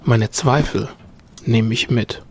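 A weary, solitary bureaucrat of a radio play, acted by a man speaks slowly at a middling pitch. The delivery is introspective and measured, in terse phrases, over faint background noise. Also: lightly acted. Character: weary, solitary male bureaucrat with quiet grief. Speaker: narrator and lead actor in an audio drama. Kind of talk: first-person narrated radio play.

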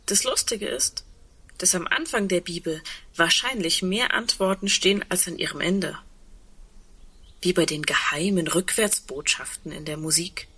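Husky, lonely, sardonic woman. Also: fairly low pitch, normal speed, sharp tone, exaggerated voice. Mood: musing. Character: lonely, sardonic woman awaiting the end of the world. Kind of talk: intimate radio play dialogue.

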